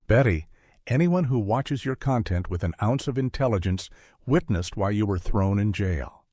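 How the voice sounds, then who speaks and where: A man's voice: on the low side, expressive, normal speed, darkish tone, no room sound, studio quality. A man hosting a scripted satirical interview, blunt, sarcastic and confrontational in his retorts. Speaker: host, mock interviewer.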